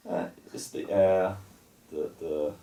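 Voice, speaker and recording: Man, 40s, from the UK, very low gravelly voice, hesitant chat, roomy off-mic sound. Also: slow, recorded from a distance. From United Kingdom. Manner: relaxed, hesitant, lots of uh and restarts. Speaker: guest. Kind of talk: casual radio interview.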